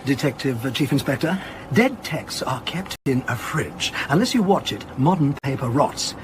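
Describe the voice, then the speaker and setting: A man's voice: mid-range voice, normal speed, noisy background, natural voice. An actor playing a male academic curator in a radio drama, explaining his field with pedantic, self-amused eagerness. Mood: wry, keen. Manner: explanatory, donnish, animated. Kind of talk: naturalistic radio drama acting.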